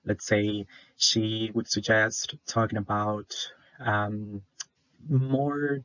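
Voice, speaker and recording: Shy young salvadoran guy presenting for class, flat voice, lots of uhs, dull dry recording. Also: lowish voice, drawn-out words, no room sound. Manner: hesitant, many fillers, shy. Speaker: student presenter.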